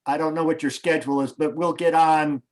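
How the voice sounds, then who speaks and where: A man hosting, talking casually and haltingly over a video call, coaching a guest before a show. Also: a little high, fairly even intonation. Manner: hesitant, restarts, coaching tone. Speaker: host.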